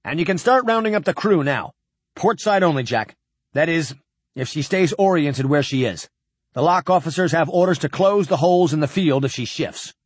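A man's voice: a little high, expressive, normal speed, husky, dry recording, pitched above the performer's own voice. A stubborn starship captain , read by a male narrator, answers in firm, urgent bursts, refusing to give ground. His voice is lifted above the narration. Close, dry audiobook sound.

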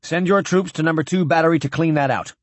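A man's voice: fairly high pitch, fast, dry recording, pitched above the performer's own voice, lightly acted. A man playing a decisive, dutiful warship captain in an audiobook, speaking tersely and urgently like a military officer. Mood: brisk, urgent. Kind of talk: audiobook character dialogue.

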